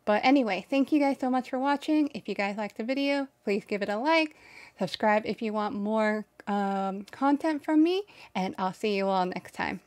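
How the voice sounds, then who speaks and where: A woman in her twenties hosting a casual reaction video, with halting, hesitant phrasing, close on a desk microphone. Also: medium pitch, darkish tone. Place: small bedroom streaming setup.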